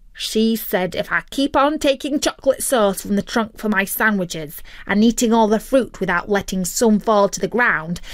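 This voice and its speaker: A woman's voice: dry recording, lightly acted. Woman doing a whiny greedy turkey. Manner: pleading, high and wailing. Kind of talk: children's storybook reading.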